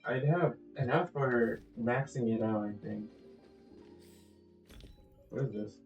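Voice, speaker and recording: A man's voice: medium pitch, takes it slowly, a little mellow, dull audio. A man giving casual, offhand gameplay commentary, thinking aloud as he plays. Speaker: gaming video host. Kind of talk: casual game playthrough commentary. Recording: echoey, faulty audio over game music.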